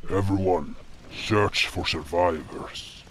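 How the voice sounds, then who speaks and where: A man's voice: low-pitched, slow, slight background noise. A man playing a stern, brooding beastlike guardian in a fantasy audio drama, curt and commanding. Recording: music behind the voice.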